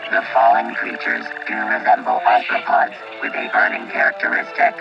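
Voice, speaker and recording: An actor playing a literal, unflappable robot protector in a radio play, formal and clinical, through a robotic filter. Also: male voice, medium pitch, completely flat delivery, normal speed, creaky, lo-fi sound, music in the background. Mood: deadpan, analytical.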